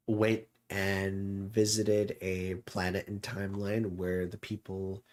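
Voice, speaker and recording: A man chatting casually and reflectively as a streamer, in a halting way with drawn-out pauses. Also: fairly low pitch. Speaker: streamer host.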